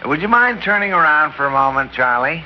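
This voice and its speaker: Smooth con-man schemer, played by a man; cool and businesslike, hissy old broadcast. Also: on the high side, lively intonation, a little fast, natural voice. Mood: cool scheming. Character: scheming con-man ex-husband faking his death. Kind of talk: 1940s-50s radio drama acting. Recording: muffled vintage radio recording with hiss.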